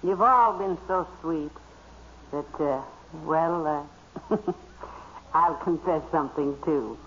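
A woman's voice: sits low, slow, fairly bright tone. A muffled vintage radio recording with faint noise features a motherly American housewife. She sounds sweet, homey and a little sly, in the style of 1940s radio comedy. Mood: sweet, confessing.

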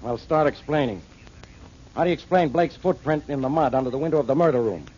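An actor playing a gruff, impatient police inspector in a 1940s radio drama. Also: male voice, mid-range voice, fast talker, darkish tone, a little hiss or hum, natural voice. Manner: gruff, blustery. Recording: dull 1940s radio recording.

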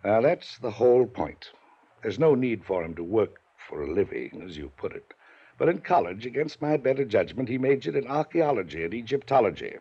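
A pompous rich old uncle. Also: male voice, medium pitch, big pitch swings, fairly quick, mellow, breathy edge. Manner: pompous, clipped, rises to angry lecturing. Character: wealthy domineering older uncle, pompous and stern. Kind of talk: 1950s radio acting. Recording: dull lo-fi 1950s radio broadcast.

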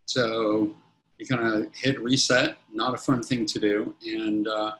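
Man in his 50s, CEO guest, a touch low-pitched, talks in loose, restarting sentences. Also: flat delivery, measured pace, fairly bright tone, slight background noise, poor audio. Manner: rambling, many restarts and fillers. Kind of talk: casual interview answers.

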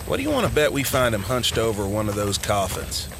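A man's voice: lowish voice, huge pitch swings, fairly quick. A man acting a talkative, jittery small-town deputy in an audio drama, folksy, wisecracking and full of complaints. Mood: griping, teasing. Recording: clearly noisy outdoor scene ambience.

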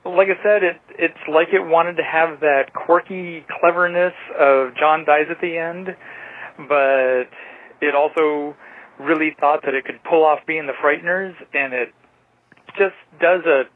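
A man's voice: a little high, fairly even intonation, unhurried. A man on a tinny phone line giving a casual movie review as a caller, rambling wryly with tentative asides. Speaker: caller leaving listener feedback.

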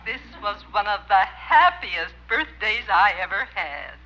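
A woman's voice: medium pitch, big pitch swings, measured pace, sharp tone. An actress playing a sweet, grateful elderly mother on the telephone in a 1950s radio comedy. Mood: tender, grateful. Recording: dull, noisy 1950s radio recording with audience.

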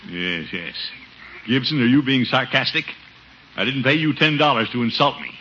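An actor playing a pompous, flustered middle-aged American uncle in a 1950s radio sitcom, blustering and muttering asides. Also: male voice, medium pitch, moderate pace, sharp tone, close to an everyday voice. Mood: indignant. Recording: noisy, muffled 1950s radio recording.

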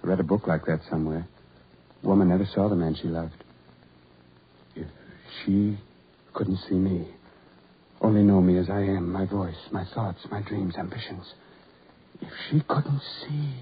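An actor playing a lonely, self-loathing ugly farm labourer in a 1940s radio horror drama, with halting, repetitive, brooding delivery. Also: male voice, sits low, breathy edge. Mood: obsessive, despairing. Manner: halting, repetitive, brooding, building to anguished outbursts. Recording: muffled, tinny 1940s radio recording.

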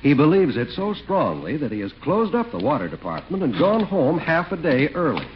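A man's voice: on the high side, huge pitch swings, normal speed, a little hiss or hum. A man reading scripted advertising and narration as a 1940s radio announcer. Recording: dull 1940s radio broadcast with music. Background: music.

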